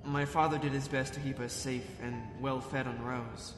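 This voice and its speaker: A man's voice: mid-range voice, little pitch movement, natural voice. A young man playing an earnest, awkward but determined cadet in a sci-fi drama, speaking a little hesitantly. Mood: somber, earnest. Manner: hesitant, halting. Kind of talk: fan sci-fi drama acting. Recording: echoey reverb with music underneath.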